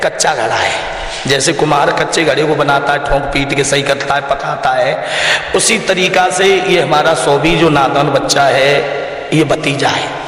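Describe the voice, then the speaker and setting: Guy with up talking like a village preacher, earnest n repetitive, super echoey room, slightly high voice. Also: steady tone, moderate pace, fairly bright tone. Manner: earnest, exhorting, repeats phrases in lists. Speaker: religious storyteller and devotional singer being interviewed. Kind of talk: interview answers in colloquial, preacherly talk.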